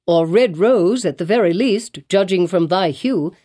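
A woman's voice: fairly low pitch, unhurried, dark tone. A woman voicing a kindly, fussy old male minister with quaint archaic speech. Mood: curious.

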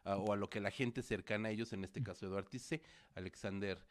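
A man's voice: fairly low pitch, fairly even intonation, brisk. A man co-hosting a studio show, talking through films at length with many fillers and restarts. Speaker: co-host film critic. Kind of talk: casual film review chat. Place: studio with producer at console.

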